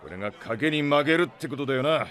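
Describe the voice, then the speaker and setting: A male actor playing a gruff, blustery middle-aged starship captain in a Japanese audio drama, with rough, brusque, slangy speech. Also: medium pitch, lively intonation, fast talker, fairly bright tone, some chatter behind, slight background noise, natural voice. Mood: grudging, urgent. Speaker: ship captain.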